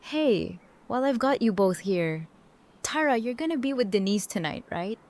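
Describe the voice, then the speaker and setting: A woman's voice: on the high side, lively intonation, moderate pace, a little mellow. A woman playing a brash, outspoken survivor of about thirty in an audio drama, blunt and casual. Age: 30s.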